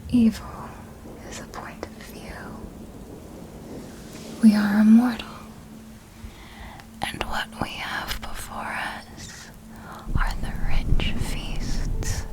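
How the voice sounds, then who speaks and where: A woman playing a seductive, remorseless immortal vampire mentor in an ASMR roleplay, speaking in a breathy whisper with deliberate pauses. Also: a little high, flat delivery, poor audio. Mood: soothing, persuasive. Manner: slow, hushed, deliberate storytelling with pauses.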